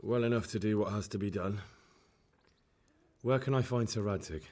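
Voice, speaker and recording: A man's voice: fairly low pitch, normal speed, warm tone, close to an everyday voice. A man acting an earnest, battered young blacksmith's son in a medieval video game drama, sounding weak and weary. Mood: earnest, determined.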